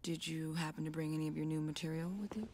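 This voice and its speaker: A calculating label boss in a game cutscene, with a breathy, silky tone. Her voice sits low for a woman, and she speaks in a poised, businesslike way. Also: flat delivery, quick pace, a little mellow. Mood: smooth. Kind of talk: video game cutscene acting.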